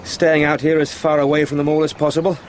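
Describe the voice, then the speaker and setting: An actor playing an eager, polite young English solicitor in a period radio drama, formal and chatty in manner. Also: male voice, on the high side, completely flat delivery, quick pace, slight background noise, natural voice. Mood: polite, brisk. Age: young adult. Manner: well-spoken, formal, chatty.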